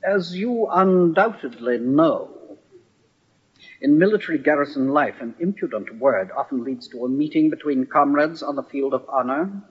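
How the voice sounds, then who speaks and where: A proud, quick-tempered captain in an old radio play. His voice is husky and swoops expressively up and up again, with formal, dignified phrasing. The recording is dull and band-limited, like an old broadcast. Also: on the high side, expressive. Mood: firm, challenging. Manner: formal, dignified, theatrical diction. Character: proud, hot-tempered young army captain, newly transferred to the regiment. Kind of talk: old-time radio drama acting.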